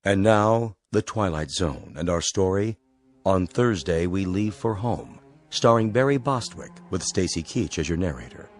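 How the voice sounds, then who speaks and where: A male announcer with a very low voice introduces a radio drama. It is a scripted program opening, with faint music behind him. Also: lively intonation, a little slow.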